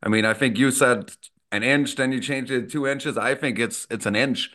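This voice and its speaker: A man from Austria, co-hosting a casual podcast as a former hockey player, easygoing and quick to laugh. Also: medium pitch, bright, forceful tone. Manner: easygoing, laughs often, reminiscing. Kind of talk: casual chat.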